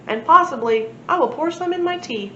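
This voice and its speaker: A woman's voice: high voice, animated, moderate pace, pushed and bright, voice pushed up. An unflappable storybook dad, acted out by a woman, insisting on his tall tales. The voice is recorded in an echoey room, a little muffled and noisy. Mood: insistent. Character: tall-tale-telling father, unflappable and insistent.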